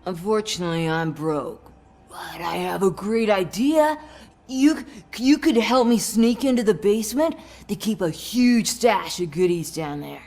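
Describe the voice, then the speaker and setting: A woman's voice: mid-range voice, measured pace, darkish tone. An actress playing a giddy young woman in a video game, starstruck and gushing, who drops into whispered asides. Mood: conspiratorial.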